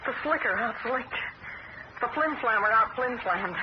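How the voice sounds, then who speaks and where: An actress playing a theatrical swindler posing as a fortune-teller, grandiose and later blunt, in a 1970s radio drama. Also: female voice, on the high side, lively intonation, unhurried. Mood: streetwise. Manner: grandiose, then streetwise and blunt. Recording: hissy muffled old radio broadcast.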